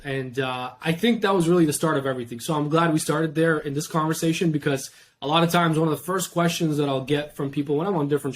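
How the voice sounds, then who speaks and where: A young man from Iran, a podcast guest, talking in a steady, reflective way. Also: on the high side. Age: young adult. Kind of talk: casual interview talk.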